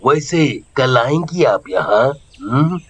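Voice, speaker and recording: Cocky loafer with swooping slow drawl. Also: male voice, mid-range voice, expressive, a bit bright, slightly rough, exaggerated voice. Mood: cocky, flirtatious. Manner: cocky Bombay-style street slang. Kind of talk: exaggerated cartoon story acting.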